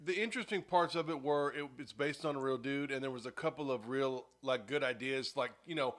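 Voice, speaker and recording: A young man hosting a podcast in casual chat, blunt and profane, joking and tossing out quick putdowns. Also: medium pitch, a bit bright. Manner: blunt, profane, joking, reads a summary aloud. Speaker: podcast host.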